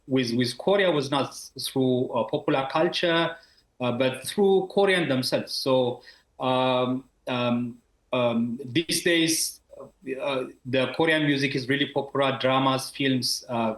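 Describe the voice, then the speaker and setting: A man's voice: mid-range voice, unhurried, echoey room, low-quality recording. A man from Ethiopia, a university professor in his thirties, answering interview questions over a video call with many fillers and restarts.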